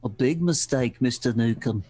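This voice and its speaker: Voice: mid-range voice, moderate pace, mellow, breathy edge, pitched below the performer's own voice, lightly acted. A performer voicing a defiant, surly young man under suspicion in an old-time radio crime play, curt and tough-talking.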